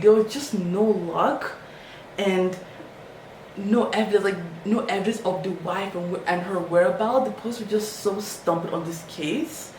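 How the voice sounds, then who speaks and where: A woman's voice: mid-range voice, recorded from a distance. A woman talks through a true-crime case in loose, chatty storytelling, her voice lifting when she quotes people. She sits well back from the mic in a very echoey room, with a faint hiss behind her. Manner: chatty, animated, quoting people in raised voice. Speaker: podcast host.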